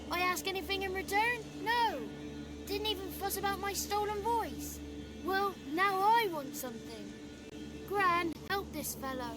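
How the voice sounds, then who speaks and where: A woman voicing a crude, playful little boy creature in a video game, speaking in a wordy, theatrical way. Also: very high-pitched. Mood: pleading, insistent. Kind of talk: video game character acting.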